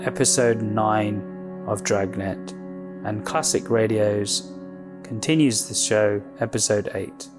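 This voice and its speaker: A man's voice: lowish voice, fairly even intonation, takes it slowly, over music. A man speaking as a show host, introducing an episode.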